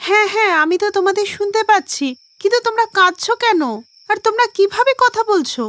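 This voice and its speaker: A woman's voice: very high voice, fast, fairly bright tone, no echo, pitched above the performer's own voice. A woman voicing a young newlywed daughter-in-law in a cartoon, polite, earnest and questioning. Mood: astonished.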